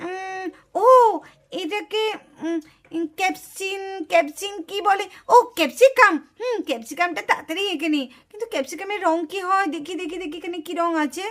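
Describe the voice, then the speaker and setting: Woman as a high-voiced, hesitant little girl doll. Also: moderate pace, a bit bright. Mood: puzzled, musing. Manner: hesitant, humming, talking to herself. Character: puzzled, earnest little girl doll thinking aloud. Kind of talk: puppet doll story for children.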